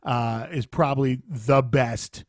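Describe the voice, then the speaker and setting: A man's voice: mid-range voice, steady tone, takes it slowly, dry recording, studio quality. A man co-hosting a podcast in casual, informal and appreciative talk. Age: adult past college and military years. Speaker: podcast co-host. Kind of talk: casual chat and show sign-off.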